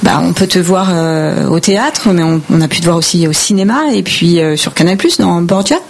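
Woman interviewer with a lowish voice. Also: moderate pace. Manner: friendly, prompting questions. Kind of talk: casual interview.

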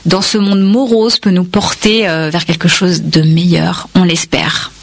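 A woman's voice: fairly low pitch, level tone, measured pace, gravelly. A woman dancer, a radio guest, explaining her dance company's work in an enthusiastic, explanatory way. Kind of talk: interview answers.

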